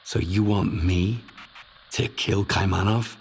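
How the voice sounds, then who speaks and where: A gravelly, earnest stalker. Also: male voice, low voice, steady tone, takes it slowly, full character voice. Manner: earnest, questioning. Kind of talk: video game cutscene acting. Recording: noisy ambience behind voice.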